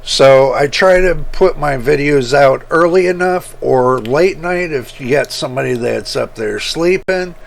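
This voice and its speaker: A man hosting his computer-building channel, talking casually and rambling, with a rough voice that repeats points as he goes. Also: a little high. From United States. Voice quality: rough, gravelly voice. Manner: slow, rambling, repeats himself. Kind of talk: casual talk to camera.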